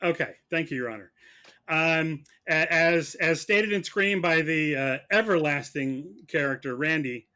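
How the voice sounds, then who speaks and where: A man playing prosecutor in a mock trial, arguing in a sarcastic, halting, conversational way. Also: on the high side, sharp tone. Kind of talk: mock courtroom argument, conversational.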